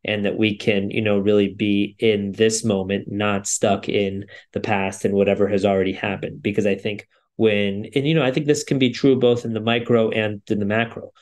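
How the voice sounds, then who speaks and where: A man's voice: on the low side, flat delivery, moderate pace, few pauses, a little mellow. A man co-hosting a podcast, giving a thoughtful solo recap in loosely strung sentences. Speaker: podcast co-host.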